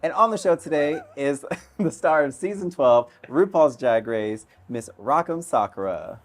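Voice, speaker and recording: A man hosting a casual talk show, teasing his guest with deadpan banter. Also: fairly high pitch, measured pace. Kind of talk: casual interview chat.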